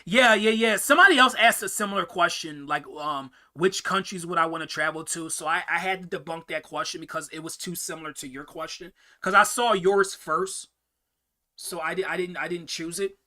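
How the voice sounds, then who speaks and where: A man's voice: fairly high pitch, a little fast, sharp tone. A young man hosting a casual live-stream Q&A, answering viewer questions in a rambling way, often repeating words and restarting sentences.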